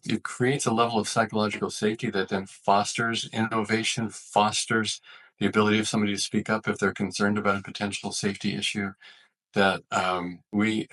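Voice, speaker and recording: Low-pitched, slightly husky man explaining. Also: a bit bright, poor audio. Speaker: physician, author and leadership expert interviewed as guest. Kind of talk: explanatory interview talk.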